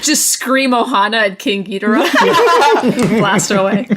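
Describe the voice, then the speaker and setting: A woman's voice: a little high, very animated, a little slow, fairly bright tone. A woman chatting casually on a podcast panel in a playful, blunt way. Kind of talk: casual chat.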